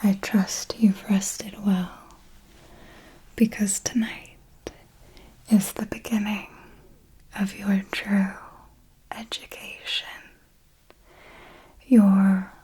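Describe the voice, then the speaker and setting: A woman voicing a seductive, possessive vampire mentor in an ASMR roleplay, speaking in a soft breathy whisper with a deliberate, formal, teasing manner. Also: mid-range voice, level tone, full character voice. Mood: solemn, enticing.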